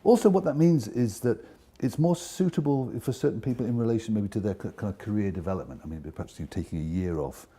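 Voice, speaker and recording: A man on the art school staff explaining a postgraduate programme in a measured, explanatory way. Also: on the low side, animated, mellow, slightly rough. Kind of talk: documentary interview. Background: music.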